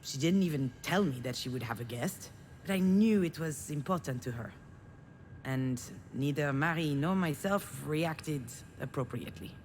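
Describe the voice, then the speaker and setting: A woman's voice: deep voice, expressive, normal speed, darkish tone, slight background noise. An actress playing a frustrated, self-deprecating woman who narrates her family wounds in a confiding, bitter game monologue. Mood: regretful, confiding. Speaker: visual novel protagonist. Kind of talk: dramatic first-person game narration.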